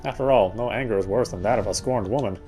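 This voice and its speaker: A man's voice: fairly low pitch, fast, mellow. A man reading visual novel narration aloud, expressively, with occasional stumbles over words. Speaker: game streamer. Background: game music.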